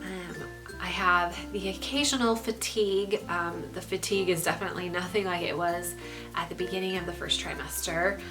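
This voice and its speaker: A young woman with a stuffy, congested voice giving a candid pregnancy update, pausing often with ums. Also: fairly low pitch, music in the background, poor audio. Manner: frequent ums, relaxed and candid. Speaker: pregnancy vlogger. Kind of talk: casual vlog update.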